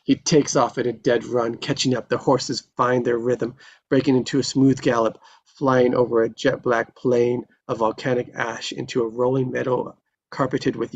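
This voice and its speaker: A man's voice: mid-range voice, little pitch movement, moderate pace, gravelly. A man reading stage directions as narrator at a table read, in a descriptive storytelling style, on a dull, slightly noisy recording. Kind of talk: scripted screenplay narration at a live table read. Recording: dull, lo-fi sound with faint noise.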